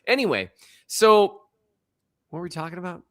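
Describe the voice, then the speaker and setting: A man's voice: high voice, big pitch swings, moderate pace. Rambling man with a slight Minnesota accent. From upper Midwest and Missouri. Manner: rambling, self-deprecating, chuckles often. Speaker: podcast co-host. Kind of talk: casual sports podcast chat.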